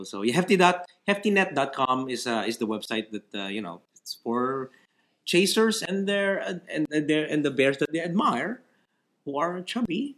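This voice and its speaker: Stuffy-sounding male co-host, halting and chatty, very animated pitch. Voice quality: hesitant, lots of fillers and restarts. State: stuffy, congested. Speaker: podcast co-host. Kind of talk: casual chat.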